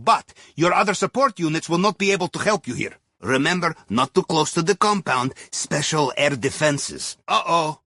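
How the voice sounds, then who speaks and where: A wry, jovial Russian submarine captain in a video game. His voice is fairly high for a man and husky, with a lively melody. He briefs his crew in clipped broken English with dry humour and a Russian accent. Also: dry recording, heavily acted. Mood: dry, instructive, then urgent, alert. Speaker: mission guide voice in a video game. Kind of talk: scripted video game radio dialogue.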